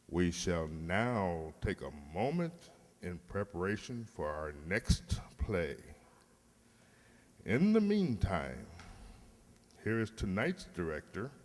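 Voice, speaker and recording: A man announcing a radio play from a script in a wry, theatrical, measured manner. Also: fairly low pitch, wildly swooping pitch. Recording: hall reverb, faint noise.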